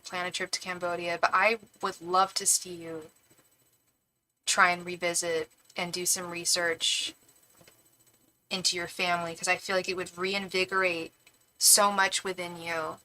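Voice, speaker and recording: A woman with a bright, forward voice talks casually as the host of a live tarot reading. She rambles effusively and addresses her listeners with easy, girlfriend-like familiarity. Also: fairly low pitch, rough recording. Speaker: tarot reader hosting a live stream. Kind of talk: casual chatty live stream talk.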